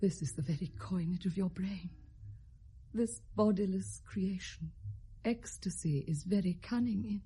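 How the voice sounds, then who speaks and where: A woman's voice: medium pitch, a little slow, dark tone, breathy edge. An actress playing a fearful, sorrowing middle-aged queen and mother in 1970s British classical radio drama, with a stately Received Pronunciation accent. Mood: dismayed. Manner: stately, theatrical, emotionally heightened.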